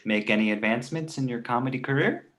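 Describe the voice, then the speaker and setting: A man voicing a precocious, manipulative baby boy with a smart-alecky comic delivery in an animated comedy read. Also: mid-range voice, quick pace, close to an everyday voice. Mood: smug, needling. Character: precocious, wisecracking, manipulative two-month-old baby boy.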